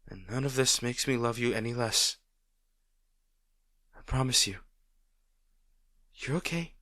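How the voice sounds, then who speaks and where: A man playing a gentle, protective boyfriend in an intimate roleplay audio, speaking in a tender, reassuring way. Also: medium pitch, a little slow, dry recording, studio quality. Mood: tender, soothing. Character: gentle, protective boyfriend comforting a distraught partner.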